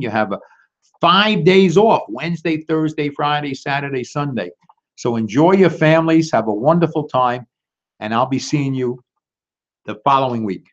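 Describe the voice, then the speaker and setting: A man reading a children's story aloud expressively, with casual asides directly to young listeners. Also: on the high side. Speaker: storytime reader. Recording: dull lo-fi recording.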